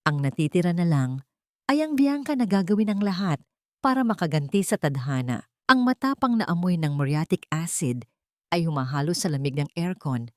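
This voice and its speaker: Casting: female audio drama narrator, deep register, close dry sound, emotive scripted first-person storytelling. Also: no echo.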